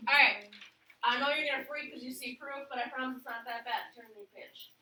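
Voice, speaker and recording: A woman's voice: high-pitched, fairly even intonation, fairly quick, bright, forceful tone, slight background noise. A woman teaching a geometry class, upbeat and coaxing her students with questions. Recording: echoey classroom, mic at a distance.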